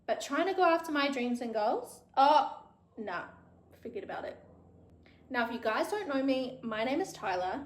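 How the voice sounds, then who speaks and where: A woman's voice: high voice, lively intonation, moderate pace, reverberant. Frank, chatty New Zealand woman. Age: 30s. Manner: chatty, frank, swears freely. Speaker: podcast host. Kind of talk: casual solo chat.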